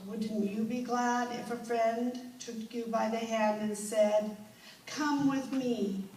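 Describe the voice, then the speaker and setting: A woman playing an earnest deaf-blind woman, making a deliberate, solemn theatrical appeal for others in halting phrases. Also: a little high. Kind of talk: theatrical speech to an audience. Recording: echoey room, distant mic, faint noise.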